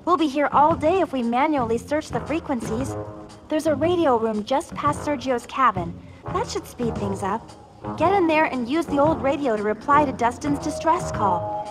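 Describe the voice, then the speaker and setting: High-voiced, anxious lodge receptionist. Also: female voice, lively intonation, normal speed, music in the background. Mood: earnest, concerned. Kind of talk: animated video game acting.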